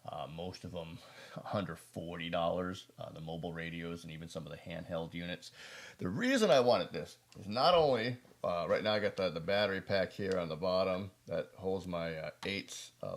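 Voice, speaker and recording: American male vlogger, low creaky voice, folksy and rambling, for casual gear reviews. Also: normal speed. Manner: rambling, self-deprecating, folksy asides. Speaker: prepper video host. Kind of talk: casual product review talk to camera.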